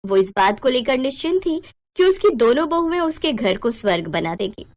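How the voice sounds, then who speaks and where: A woman with a fairly high voice narrates a scripted family bedtime story. Her storytelling is expressive and dramatic. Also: moderate pace.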